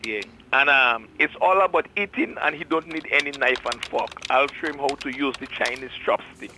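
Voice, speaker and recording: A man's voice: medium pitch, normal speed, pushed and bright, a little hiss or hum. A man calling in to a radio show, jovial and joking, heard over a phone line. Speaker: caller.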